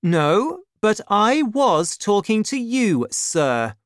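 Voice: mid-range voice, big pitch swings, very slow, no echo, studio quality, pitched above the performer's own voice. A storybook narrator voicing a proud, hot-headed young Gascon man of eighteen with an indignant, challenging delivery. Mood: angry, defiant.

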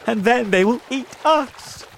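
Female storybook spider, voiced by a man, high, emphatic, urgent, quick-witted. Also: sing-song, a little hiss or hum. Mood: frightened.

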